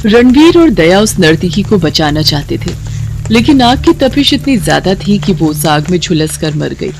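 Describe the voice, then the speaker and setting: A woman's voice: fairly low pitch, big pitch swings, moderate pace, vocal fry, darkish tone, faint music behind, low-quality recording. A woman narrator reading a scripted story in a dramatic storytelling style.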